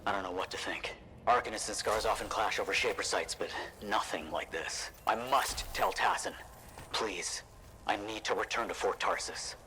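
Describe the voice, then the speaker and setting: Earnest male game scholar: worried, lowish but sharp-edged, noisy backdrop. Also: moderate pace. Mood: shaken, worried. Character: troubled, formal male scholar. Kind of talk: video game cutscene acting.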